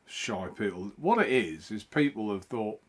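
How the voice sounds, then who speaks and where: A man's voice: on the low side, expressive, moderate pace, breathy edge. A man hosting a casual live stream from home, chatting in a rambling way and greeting viewers by name.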